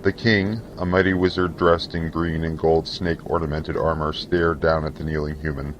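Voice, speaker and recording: A man reading scripted fantasy narration for an audio drama in a solemn, measured, theatrical way. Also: a bass voice, flat delivery, highs cut off, faint music behind.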